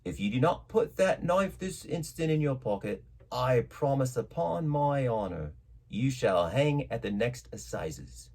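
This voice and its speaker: A man voicing a calm, fearless gentleman doctor in a storybook, speaking clearly and steadily with stern authority. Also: a little slow. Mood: steady threat. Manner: clear, steady, authoritative, rather high delivery.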